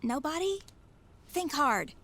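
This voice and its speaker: A higher-voiced, persistent woman caregiver. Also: big pitch swings, slow, exaggerated voice. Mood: teasing, coaxing. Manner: persuasive, probing, gently teasing. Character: persistent, caring woman caregiver, probing and dryly teasing. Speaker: actor in an audio drama. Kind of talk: naturalistic radio drama dialogue.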